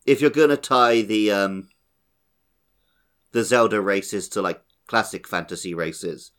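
A man's voice: fairly low pitch, a little slow, fairly bright tone. A man hosting a podcast, chatting casually about a video game. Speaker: podcast host.

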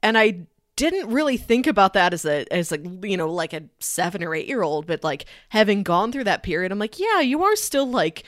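A woman chats casually as co-host of a film podcast. Her voice sits at a middle pitch and is recorded dry, with little room sound. She retells scenes by slipping into bits of dialogue. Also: sing-song, moderate pace, no room sound. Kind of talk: casual chat about films.

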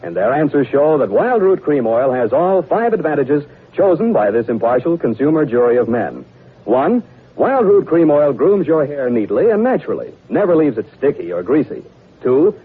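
A man's voice: a little high, husky. An American-accented man working as a radio announcer, reading a scripted 1940s sponsor message like a polished salesman. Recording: old muffled, grainy radio broadcast recording.

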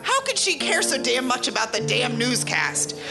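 An actress plays an exasperated, sweary ex-employee in an audio comedy. Her voice is highish and swings around bluntly. Music is playing behind. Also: sing-song, moderate pace. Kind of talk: scripted audio comedy acting. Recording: music under the voice.

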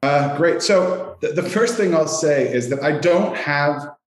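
A man's voice: fairly high pitch, measured pace. A Canadian-accented man giving conversational answers as a sales executive guest on a podcast. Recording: roomy, echoey sound.

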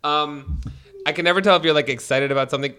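A man's voice: a little high, fast talker, crowd noise. A man co-hosting a casual podcast, teasing his friend and laughing often. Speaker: podcast co-host. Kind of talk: casual chat.

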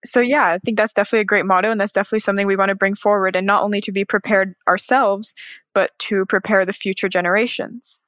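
A woman's voice: medium pitch, steady tone, quick pace, a bit bright, no room sound. Woman, podcast host, mid-range voice, articulate, polite, upbeat, conversational interviewing, dry close recording.

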